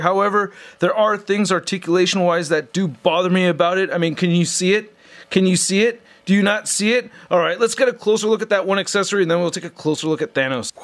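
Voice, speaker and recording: A man hosting a casual, unscripted review video, talking in a chatty, informal, slangy way. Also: sits high. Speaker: toy review video host.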